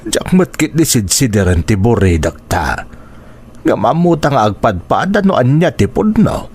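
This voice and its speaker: A man acts out an older village father in a radio drama, stern and weighed down by care. He speaks at a middling pitch with an Ilocano Filipino accent. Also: sing-song, normal speed, darkish tone, husky. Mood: stern, troubled.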